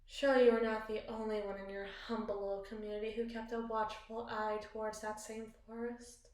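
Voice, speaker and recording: Woman playing an echoey, high-voiced possessive evil queen. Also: level tone, fairly quick, darkish tone, close to an everyday voice. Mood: brooding, pointed. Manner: ornate, eloquent, dramatic phrasing. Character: possessive, cunning evil queen, doting yet menacing spouse. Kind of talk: ASMR roleplay, theatrical monologue. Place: small bathroom, indoors. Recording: very echoey tiled bathroom.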